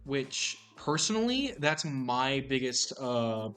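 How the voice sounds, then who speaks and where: A young man co-hosting a podcast in casual chat, excitable and rambling, often restarting his sentences. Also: mid-range voice, slow pace, clear, resonant tone, faint music behind. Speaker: podcast co-host.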